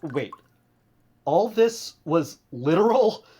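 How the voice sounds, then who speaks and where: A woman's voice: fairly low pitch, very animated, takes it slowly, warm tone, breathy edge, full character voice. A woman playing a frightened, bewildered young investigator who is hesitant and incredulous, in an audio drama. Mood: bewildered disbelief. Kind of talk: fiction podcast audio drama acting.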